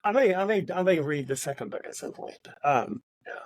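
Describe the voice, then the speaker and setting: Man, casual and halting, dry sound. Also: fairly high pitch, lively intonation, dry recording. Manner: hesitant, repeats words. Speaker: podcast co-host. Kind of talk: casual book discussion.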